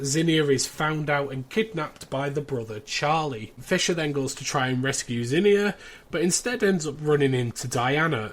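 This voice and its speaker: A British man hosting a podcast, casually recapping a plot in a chatty way with wry asides. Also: mid-range voice. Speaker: podcast host.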